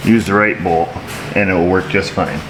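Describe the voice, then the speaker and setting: A middle-aged mechanic with a lowish voice talks through a repair as he works, dry and wry, poking fun at himself. He sounds like he's in a big echoey garage, with clear shop noise behind him. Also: fairly even intonation, normal speed. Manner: wry, dry self-mocking asides. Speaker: auto mechanic, shop owner. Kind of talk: casual how-to narration while working.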